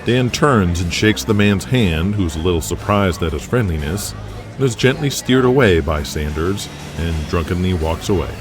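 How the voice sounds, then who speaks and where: A man's voice: low voice, animated, normal speed. A man narrating a scripted audio drama as the storyteller. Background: music with guitar.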